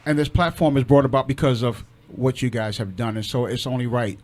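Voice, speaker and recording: A man's voice: medium pitch, fairly even intonation, brisk, noisy background. A middle-aged or older man co-hosting a casual live radio show, effusive and repeating phrases for emphasis. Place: radio studio with guests. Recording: other voices talking around him.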